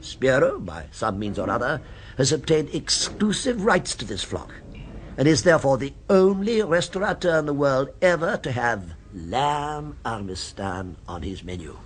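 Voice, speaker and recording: A man's voice: mid-range voice, big pitch swings, moderate pace, slight background noise, natural voice. An actor in an old radio drama playing a refined man obsessed with a restaurant, who speaks in a precise, insinuating, knowing way. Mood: knowing, earnest. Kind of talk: 1970s radio drama acting. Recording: old radio broadcast recording.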